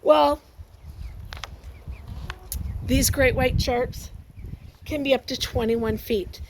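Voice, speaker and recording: A woman reading a picture book aloud to young children, expressive and exclamatory, often breaking off to ask her listeners questions and add asides. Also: sits high, extremely slow, noisy background.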